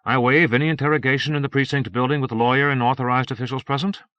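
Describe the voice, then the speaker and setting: A defiant, smooth-talking male citizen played by a male audiobook reader. He protests breezily in a raised, conversational voice with a bright edge. The recording is dry. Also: mid-range voice, sing-song, a little fast, no echo, pitched above the performer's own voice. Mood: eager bluffing. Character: glib, defiant male citizen under questioning. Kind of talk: audiobook character acting.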